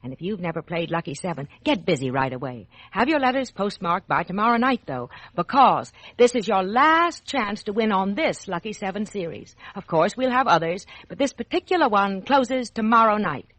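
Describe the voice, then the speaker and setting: A woman's voice: medium pitch, big pitch swings, normal speed, few pauses, a bit bright, no echo. An American woman hosting a scripted 1940s children's radio show, addressing children like a storyteller, on an old radio recording.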